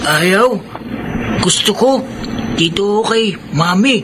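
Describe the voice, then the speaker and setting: A performer acting a childlike, affectionate son in a Filipino radio drama, speaking in simple halting phrases. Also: medium pitch, lively intonation, noisy background. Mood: childlike, concerned.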